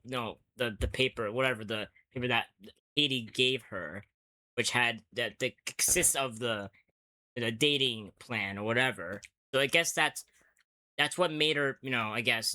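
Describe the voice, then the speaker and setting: A man's voice: medium pitch, normal speed, pushed and bright, no echo. A man hosting a casual reaction chat, speaking hesitantly with stammered repetitions. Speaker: host.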